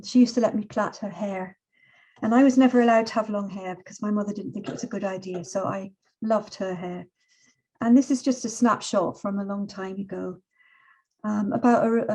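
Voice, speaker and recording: Mellow-voiced woman poet in her sixties. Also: mid-range voice, low-quality recording. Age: 60s. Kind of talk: poetry reading with spoken introductions.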